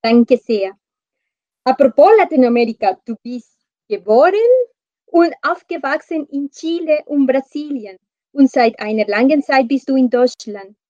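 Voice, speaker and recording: A woman's voice: sits high, lively intonation, a little slow, dark tone, no room sound. A woman hosting an interview show, introducing and questioning her guest, on a slightly dull, dry recording. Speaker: host.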